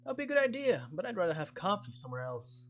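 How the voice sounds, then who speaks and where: Guy doing a bossy young lady cop in a game, voice pushed up high, very up and down. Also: big pitch swings, fast talker, fairly bright tone, lo-fi sound. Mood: brisk. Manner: high-pitched, insistent. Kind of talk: visual novel dialogue read aloud.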